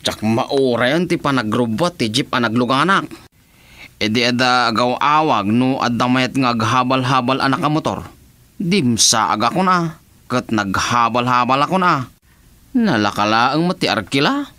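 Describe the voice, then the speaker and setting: An actor playing an excitable, pleading young nephew eager to marry, in an Ilocano radio comedy drama, with an Ilocano accent. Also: male voice, mid-range voice, normal speed, no room sound. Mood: cheerful.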